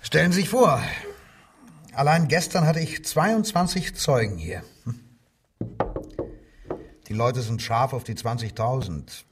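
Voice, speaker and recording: A man's voice: medium pitch, measured pace, long pauses, far from the mic, background noise, lightly acted. An actor playing a male Nazi-era police inspector in a radio crime drama, falsely genial, probing and menacing. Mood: smug, insinuating. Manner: feigned joviality, probing, insinuating. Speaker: interrogating police detective.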